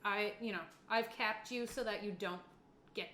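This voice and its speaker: A woman's voice: medium pitch, steady tone, moderate pace, pushed and bright. Woman gamemaster explaining stuff casually, roomy echo, bit of noise. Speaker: game master running a tabletop horror game. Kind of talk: casual game narration and rules talk. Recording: echoey room with faint background noise.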